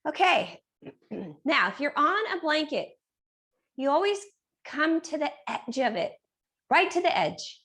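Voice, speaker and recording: A woman leads a yoga class with a fairly high voice, giving calm step-by-step cues. She has been losing her voice and clears her throat now and then. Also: a little slow, pauses often. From Reno area, United States. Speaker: yoga teacher. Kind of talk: guided yoga class instruction.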